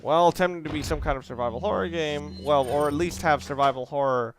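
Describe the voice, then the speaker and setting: A man's voice: a little high, fairly quick, a little hiss or hum. A man giving casual, rambling walkthrough commentary on a video game, correcting himself and explaining tactics as he plays. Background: video game music and sound.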